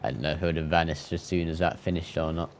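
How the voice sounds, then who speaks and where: A man's voice: very deep voice, steady tone, quick pace, a little hiss or hum. A man giving casual live gameplay commentary as a streamer, with chatty asides.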